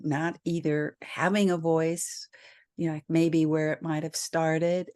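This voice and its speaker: An older woman, a psychologist, hosting a podcast interview in casual chat, laughing often. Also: low-pitched. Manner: slow, warm, laughs often.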